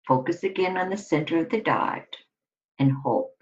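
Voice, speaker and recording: A woman giving a scripted guided relaxation exercise, instructing deliberately in short phrases with pauses between them. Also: low voice, a little mellow. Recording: dull lo-fi recording.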